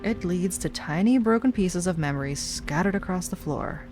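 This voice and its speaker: A woman playing an obsessive, lovesick stalker ex-girlfriend in an intimate audio roleplay monologue, sweet yet menacing, with a breathy delivery that drifts into whispers. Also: on the low side, expressive, moderate pace, lightly acted. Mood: anguished, simmering. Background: rain and music.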